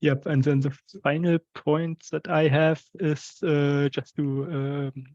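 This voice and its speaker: A man's voice: on the high side, little pitch movement, slow pace, a little mellow, no echo. Man, hedging explainer, casual tech meeting talk, muffled online call, little room sound. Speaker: software working group participant.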